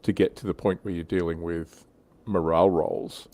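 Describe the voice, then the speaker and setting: Low-voiced man hosting a casual panel. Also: level tone, moderate pace, dark tone. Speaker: livestream panel host. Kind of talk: casual hobby discussion.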